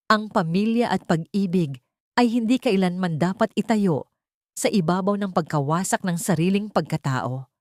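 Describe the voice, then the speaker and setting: A woman with a Filipino accent narrating a scripted dramatic story in a measured, sentimental, literary way. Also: mid-range voice, no echo, studio quality.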